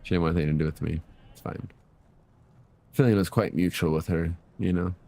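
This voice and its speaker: A man's voice: deep voice, fairly even intonation, a little fast, creaky, dark tone. A man giving casual gameplay commentary as a streamer, muttering in loose slang with plenty of swearing. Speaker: game streamer.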